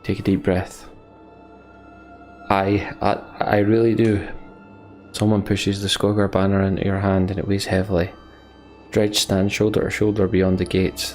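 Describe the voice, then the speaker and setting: A man's voice: deep voice, a little mellow. A man hosting a let's play, reading story text aloud dramatically and adding sweary, emotional asides. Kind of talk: dramatic narration with casual asides.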